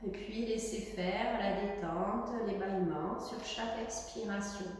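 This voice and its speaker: A woman teaching yoga, giving guided relaxation instructions in a gentle, halting way with pauses. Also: medium pitch. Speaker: yoga teacher leading a class. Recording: echoey reverberant room, distant mic, faint noise.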